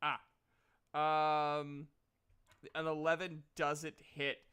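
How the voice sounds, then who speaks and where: Bright-voiced man running a tabletop role-playing game. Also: fairly high pitch, monotone, extremely slow, clear, resonant tone. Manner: casual, hesitant, profane. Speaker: game master.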